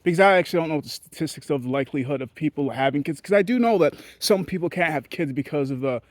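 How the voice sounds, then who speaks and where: A man's voice: lively intonation, fast talker, dry recording. A man from Haiti giving a blunt, profane, rambling monologue to his audience as a vlogger. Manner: blunt, profane and rambling, with sudden jumps in pitch. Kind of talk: candid ranting monologue.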